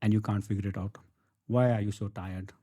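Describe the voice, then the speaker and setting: A man's voice: on the low side, fairly even intonation, brisk, mellow. A middle-aged man from India with an Indian accent, telling his story emphatically in a conversational interview and often checking in with the listener. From Bangalore, India. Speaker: interview guest, entrepreneur and author.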